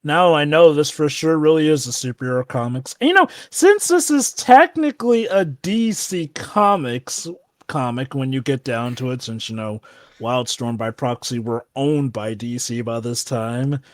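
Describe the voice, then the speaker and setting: A man's voice: medium pitch, normal speed, no echo, clean studio sound. A man co-hosting a read-along, giving casual, rambling and wry commentary. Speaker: co-host of a comic read-along.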